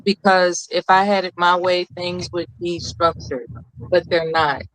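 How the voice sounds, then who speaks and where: A woman with a commanding voice gives her views on a discussion panel. She sounds earnest and deliberate, with halting phrases, and the sound is dry with little room around it. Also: fairly low pitch, fairly even intonation, fairly bright tone, no room sound, poor audio. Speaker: call-in panelist.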